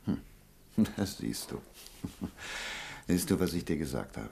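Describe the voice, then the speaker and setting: A man's voice: very deep voice, sing-song, measured pace, gravelly, dark tone, slight background noise, heavily acted. An actor in a German radio play, playing a protective man who soothes his beloved in an insistent, emotional manner. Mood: soothing.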